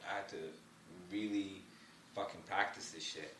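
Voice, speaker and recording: A man's voice: sits low, steady tone, measured pace, a bit bright, recorded from a distance. A man speaking casually as a podcast guest, slangy and emphatic, with motivational advice-giving delivery. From born in Somalia, lived in Ottawa, Canada. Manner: slangy, emphatic, motivational, peppered with "you know what I mean". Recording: roomy, off-mic, faint background noise.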